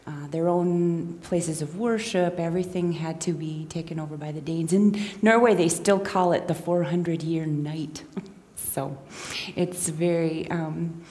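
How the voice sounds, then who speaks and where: A middle-aged woman from Minnesota giving an informal talk to a gathering as a guest speaker, unhurried and conversational, with many ums and restarts. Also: deep voice, steady tone, dark tone. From Minnesota, USA. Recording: long reverb of a hall.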